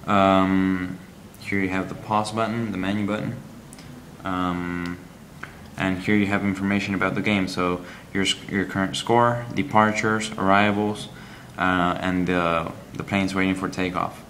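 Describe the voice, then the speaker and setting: Deep-voiced guy doing a game review walkthrough, pauses and ums a lot. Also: fairly even intonation, a bit bright, a little hiss or hum. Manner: halting, explanatory, frequent ums. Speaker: app reviewer.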